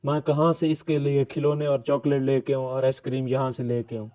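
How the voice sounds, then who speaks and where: A man's voice: mid-range voice, little pitch movement, fairly quick, dark tone, natural voice. A man playing a pleading, exasperated husband and father in a cartoon. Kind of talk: cartoon dialogue.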